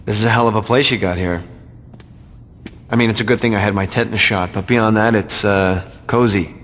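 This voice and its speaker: A man's voice: lowish voice, steady tone, brisk, a bit bright, in a large hall, a little hiss or hum, close to an everyday voice. An actor playing a smug corporate man in a video game, bargaining with dry, polite, unruffled sarcasm. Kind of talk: video game cutscene acting.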